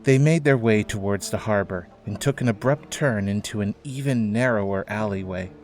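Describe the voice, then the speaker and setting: A man serves as narrator for an audio drama, reading scripted prose. His voice is on the low side, and music plays softly behind it. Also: moderate pace. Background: background music.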